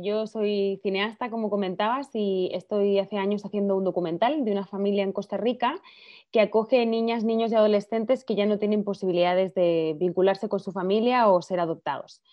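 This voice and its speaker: A woman's voice: medium pitch, fairly even intonation, a little fast, no room sound. A woman with a non-Chilean Spanish accent, a filmmaker answering radio interview questions in long, earnest explanations. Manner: fluent, earnest explaining with many clauses. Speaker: filmmaker and campaign director, interview guest. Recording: dry, dull lo-fi radio audio.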